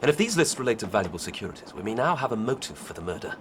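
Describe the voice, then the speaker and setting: Eager male inspector, earnest, reporting, lowish, faint noise. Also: sing-song, very fast. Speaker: police inspector. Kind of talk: radio drama acting.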